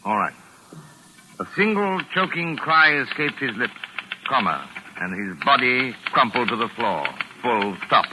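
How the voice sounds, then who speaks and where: An actor playing a pompous, touchy mystery novelist with precise, exasperated formal diction, in 1940s radio drama style. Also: male voice, mid-range voice, very animated, measured pace, background noise. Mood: dictating. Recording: raspy vintage 1940s radio recording.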